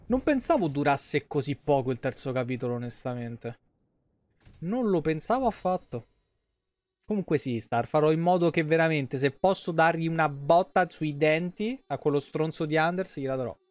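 Higher-voiced Roman-accented man, streaming casually. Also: animated, moderate pace, a little mellow, no room sound. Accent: central Italian, Roman. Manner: colloquial, salty-tongued, thinking aloud. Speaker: game streamer, host. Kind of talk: casual live gameplay commentary. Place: indoor streaming setup at home.

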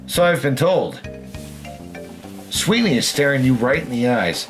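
Animated man chatting over game music. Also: mid-range voice, a little slow. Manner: playful, exclamatory, swears casually. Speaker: host of a gaming show. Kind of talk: casual let's-play chat. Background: video game music.

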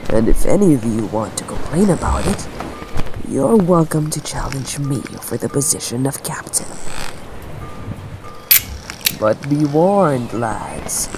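A man's voice: mid-range voice, big pitch swings, a little slow. A man playing a commanding, roguish yet protective pirate captain with nautical slang in an audio roleplay. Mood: stern, commanding. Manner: authoritative, uses nautical slang. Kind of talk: dramatic audio roleplay acting. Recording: clear background ambience noise.

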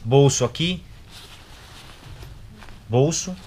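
A man's voice: mid-range voice, lively intonation, drawn-out words, darkish tone. Young Brazilian man, noisy shop recording. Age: young adult. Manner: informal, slangy, short clipped phrases. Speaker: product reviewer. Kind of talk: casual product review to camera. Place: indoors in a motorcycle gear shop. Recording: clear background noise, shop ambience.

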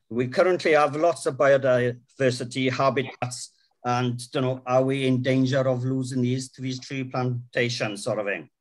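A plain-spoken middle-aged man with a Welsh accent talks earnestly from his notes, his voice sitting at an ordinary pitch. He speaks from a room at home, picked up by a webcam on a video call, pausing on ums as he goes. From Wales. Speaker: farmer giving a talk. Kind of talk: prepared talk read from notes.

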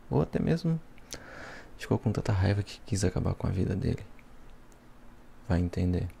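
A young man acts out a smug, playful devil with a Brazilian accent for an ASMR roleplay. The voice is low and gravelly, soft and close to the mic, with a teasing, casual delivery. There is faint noise behind it. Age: young adult. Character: smug, playful devil helping police as a consultant. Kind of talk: ASMR roleplay, soft talk mixed with whispering.

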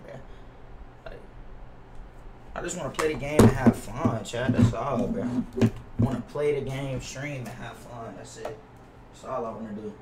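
A young American man livestreaming a game, chatting casually in slang with plenty of swearing and repeated phrases. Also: mid-range voice. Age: young adult. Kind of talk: casual livestream chat with viewers. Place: small indoor room at a gaming setup. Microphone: gaming headset. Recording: roomy, distant mic, faint noise, keyboard clicks.

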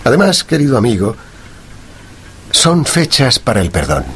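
An actor with a Castilian Spanish accent playing a shrewd, composed gentleman detective in a radio drama, formal and authoritative. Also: mid-range voice, sing-song, normal speed, slight background noise. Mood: reflective, assured.

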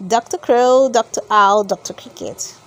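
A woman's voice: a little high, a little slow, noisy background. A woman reading a children's storybook aloud as narrator, expressively and with dramatic emphasis.

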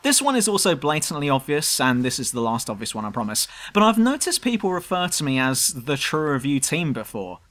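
A man's voice: fairly high pitch, big pitch swings, fairly quick, few pauses, fairly bright tone. A man with a southern English accent, reading a scripted, self-mocking commentary for his gaming channel. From southern England. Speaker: YouTube gaming channel host. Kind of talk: scripted commentary over gameplay.

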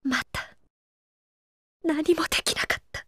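A woman voicing a gentle, earnest young princess in a video game, softly polite and hesitant. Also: high-pitched, takes it slowly, dry recording, clean studio sound, exaggerated voice. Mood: apologetic. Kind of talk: video game cutscene acting.

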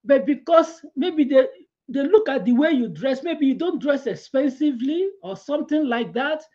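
A middle-aged woman giving a devotional sermon from a small room at home. She tells her stories with lively hand gestures and laughs along the way. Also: high-pitched, normal speed, muffled recording. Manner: animated storytelling with hand gestures, laughs through her anecdotes. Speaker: preacher.

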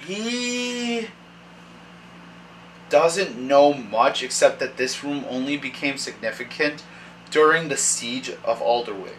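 A young man narrating as dungeon master at a kitchen table, reading room descriptions haltingly and thinking aloud. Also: medium pitch, expressive, fairly bright tone, a little hiss or hum, poor audio. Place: dim home kitchen at a table. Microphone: far camera.

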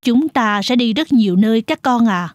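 A kindly mother goat played by a woman in a children's cartoon. Her voice is a little high, and she speaks in a measured, caring, motherly way. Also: dry recording, clean studio sound, natural voice. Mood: happy announcing.

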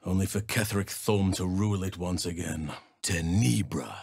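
A solemn, gentle druid healer for a fantasy video game. His voice sits on the low side and is recorded very dry. He phrases things formally and with old-world gravity, like a wise elder of the wilds. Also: vocal fry, slightly rough, no room sound, full character voice. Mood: solemn, measured. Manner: measured, formal, archaic phrasing.

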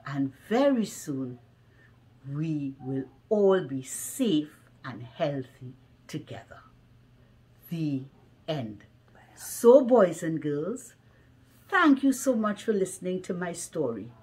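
A middle-aged woman reading a children's story aloud in a deliberate, expressive way, slightly muffled by a face mask. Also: low-pitched. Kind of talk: children's picture book read-aloud. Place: small living room at home, indoors.

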